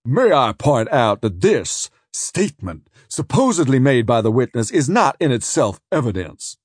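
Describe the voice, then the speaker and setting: A man doing a blustering male defence lawyer in an audiobook. He protests in slow, outraged bursts. The voice swings widely in pitch and has a husky edge. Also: sing-song, no echo, clean studio sound. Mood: indignant.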